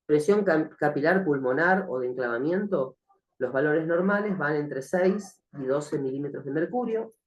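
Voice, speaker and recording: A man teaching a recorded nursing class, slightly hoarse from the flu, speaking hesitantly with many fillers and frequent check-ins with the listener. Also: on the high side, expressive, moderate pace, dull audio. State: has a cough from the flu. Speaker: nursing instructor. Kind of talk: recorded online lecture.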